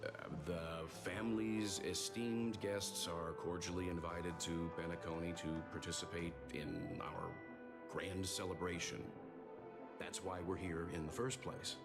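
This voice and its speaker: An actor playing a grave, thoughtful mature gentleman in a video game, speaking formally and deliberately. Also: low voice, little pitch movement, loud music behind the voice. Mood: calm, reflective. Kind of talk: video game story dialogue acting.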